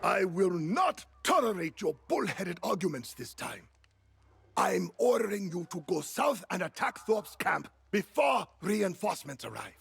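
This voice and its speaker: An actor playing a valiant, resolute old military leader in a video game, with a husky, gravelly voice and a commanding manner. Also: male voice, high-pitched, big pitch swings, unhurried, no room sound, heavily acted. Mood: stern, urgent. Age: older. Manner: commanding, emphatic. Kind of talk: dramatic video game acting. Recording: loud music behind the voice.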